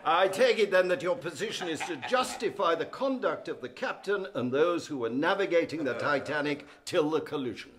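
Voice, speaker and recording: An actor playing an insistent union barrister in a formal, accusatory courtroom radio drama. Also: male voice, a little high, lively intonation, a little fast, hardly any pauses, a bit bright. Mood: pressing. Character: dogged, indignant male union barrister at a shipwreck inquiry.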